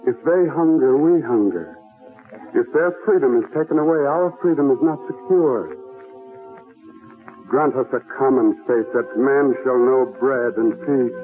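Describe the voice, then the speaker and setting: A man playing a solemn, visionary voice of mankind, delivering earnest, measured oratory in 1940s radio style. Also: medium pitch, lightly acted. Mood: earnest, resolute. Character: solemn, visionary everyman, timeless spirit of mankind. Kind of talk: 1940s radio dramatic recitation, prayer-like. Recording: tinny 1940s radio broadcast with music behind.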